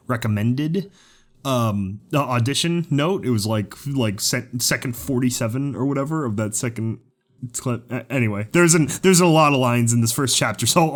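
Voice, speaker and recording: A man with an average-pitched voice chats informally ahead of recording his lines. He rambles, stammers and hesitates as he goes. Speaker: voice actor. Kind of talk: casual spoken audition slate.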